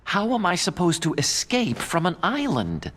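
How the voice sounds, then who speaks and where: An actor with an American accent playing an earnest, nerdy young scientist in a video game, anxious, idealistic and plaintive. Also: male voice, high voice, wildly swooping pitch, moderate pace, a bit bright, heavily acted. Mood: urgent, determined. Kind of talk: dramatic video game voice acting.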